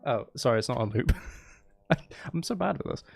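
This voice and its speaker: A man's voice: mid-range voice, lively intonation, moderate pace, gravelly. A man streaming casually as he makes music, thinking aloud in a playful, rambling way. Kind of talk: casual livestream chat while making music. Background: electronic music being composed.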